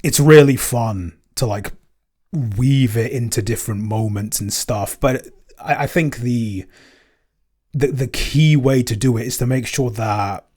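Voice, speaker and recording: A man's voice: mid-range voice, a little slow, darkish tone, husky. A man chatting casually in a Q&A, hesitant and often repeating himself as he searches for words. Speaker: podcast co-creator, composer and episode writer. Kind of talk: casual Q&A chat.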